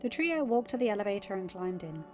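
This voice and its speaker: A woman's voice: medium pitch, big pitch swings, fast, a little mellow, dull audio. Woman narrating a story over music. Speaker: podfic narrator.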